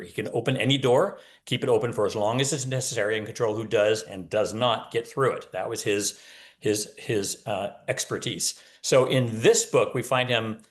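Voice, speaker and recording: A man with a medium-pitched voice speaks as an author being interviewed. He explains things casually, point by point, with a wry touch. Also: a bit bright. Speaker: novelist, podcast guest.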